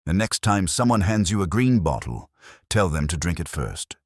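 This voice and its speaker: A man's voice: sits low, very animated, moderate pace, no echo, studio quality. A man voicing a wisecracking, flippant detective's assistant with a breezy, wry manner in an audiobook story.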